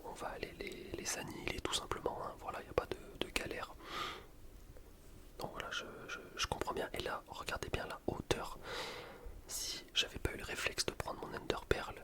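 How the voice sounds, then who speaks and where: A man softly whispering ASMR gameplay commentary in an informal, rambling, self-deprecating way. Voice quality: soft unvoiced whisper. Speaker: gaming video creator.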